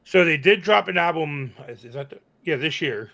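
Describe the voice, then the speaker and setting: A man's voice: a little high, lively intonation, normal speed, gravelly, a crowd in the background. A man co-hosting a casual reaction show, chatting conversationally about music.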